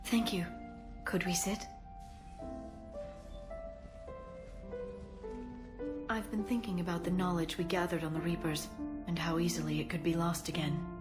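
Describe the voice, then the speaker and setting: A woman playing a gentle, sincere scholar in a video game cutscene, speaking in a measured, soft-spoken way. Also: on the low side, level tone, music in the background, natural voice. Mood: thoughtful. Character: gentle, scholarly female alien archaeologist, sincere and devoted.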